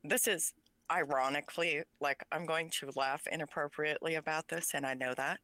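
A woman speaking English as a second language, with Pennsylvania Dutch as her first, talks as an interview guest. Her voice sits low for a woman but comes across bright and forceful. She speaks with passion and emphasis, recorded dry and close with no room sound. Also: steady tone, normal speed, no echo. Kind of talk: conversational interview.

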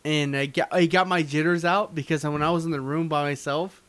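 A man's voice: a little high, steady tone, brisk, a bit bright. A young man co-hosting a podcast, joking and teasing his friends in a casual chat. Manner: joking, teasing, laughs a lot. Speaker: podcast co-host.

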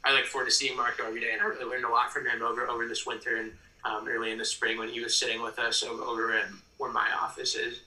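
A man's voice: medium pitch, monotone, rapid-fire, few pauses, sharp tone. A young American man from Ohio chatting casually and earnestly as a guest in an interview. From Ohio, United States. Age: young adult. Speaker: podcast guest, PA announcer and ticket sales executive. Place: room at home, audio call. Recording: roomy, slightly distant mic.

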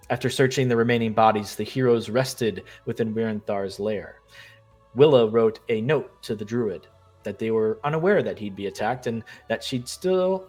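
A man in the middle of his range, slightly nasal as he gets over a cold, hosts a tabletop game and narrates a recap. He tells the story steadily, dotting it with "uh" and "um". Faint music sits underneath. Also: mid-range voice. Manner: steady storytelling with frequent "uh" and "um" fillers. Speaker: game master and host. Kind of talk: show intro and recap narration.

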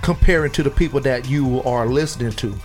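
Animated male hip-hop show host. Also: mid-range voice, fast talker. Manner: animated, repeats "you know what I'm saying". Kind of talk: casual interview chat.

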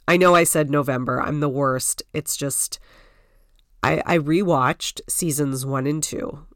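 A woman's voice: low voice, a bit bright, no room sound, clean studio sound. A woman hosting a solo podcast from home, congested from a cold, chatting in a rambling way with lots of "like" and "you know". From Canada. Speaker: podcast host. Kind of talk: casual solo podcast chat.

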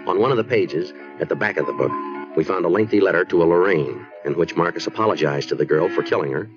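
An actor playing a businesslike American police detective who narrates his case in a deadpan, clipped manner in a 1950s radio drama style. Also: deep voice, fast, lightly acted. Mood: matter-of-fact. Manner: deadpan, clipped, matter-of-fact. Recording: muffled old radio recording, music behind.

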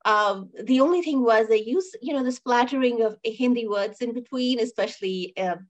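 A woman's voice: on the high side, moderate pace. A woman co-hosting a review podcast, talking casually and emphatically, often repeating phrases and checking in with questions. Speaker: co-host of a film and TV review show.

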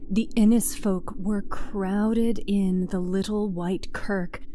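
A woman narrating a scripted story in a measured, dramatic manner. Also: mid-range voice, dark tone, a little hiss or hum.

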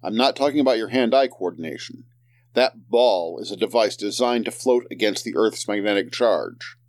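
An actor playing a pedantic, composed scientist type with a dry, precise manner in an audio drama. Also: male voice, fairly low pitch, normal speed, close to an everyday voice. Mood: analytical, calm.

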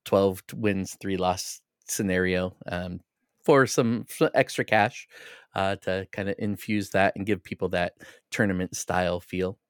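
A man with a low, smooth voice talks casually as a gaming podcast co-host. He is measured and thoughtful, and the sound is dry and close. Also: fairly even intonation, no echo.

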